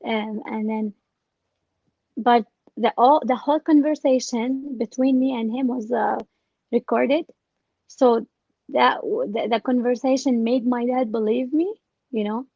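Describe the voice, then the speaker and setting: High-voiced woman, dull lo-fi sound. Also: fairly even intonation, unhurried, dry recording. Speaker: interview guest, scam victim. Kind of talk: casual personal account.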